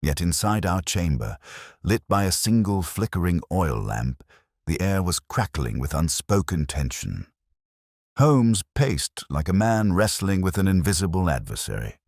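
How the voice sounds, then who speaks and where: A man with a very deep, husky voice narrating a scripted story in a dramatic, literary manner. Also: darkish tone, no room sound, clean studio sound.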